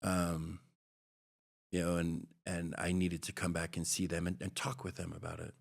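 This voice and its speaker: A middle-aged man from Hawaii, a podcast guest, telling a personal story in a halting, reflective way with many restarts. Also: low-pitched, level tone, vocal fry.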